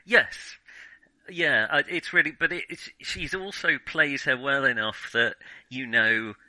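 A man in a casual film discussion, thinking aloud haltingly with many false starts. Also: mid-range voice, bright, forceful tone, no room sound, low-quality recording. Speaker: film podcast host.

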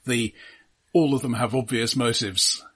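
Guy on a film podcast, bit husky, picks his words carefully, kinda halting. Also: medium pitch, fairly even intonation, fairly bright tone. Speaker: film discussion podcast co-host. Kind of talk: casual analytical film discussion.

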